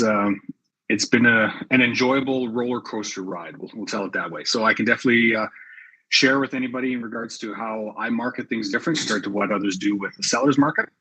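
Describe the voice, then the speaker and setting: Husky, bright-voiced man from Canada. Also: fairly low pitch, rough recording. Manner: rambling, filler-heavy. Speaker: panelist, realtor. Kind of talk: conversational panel talk.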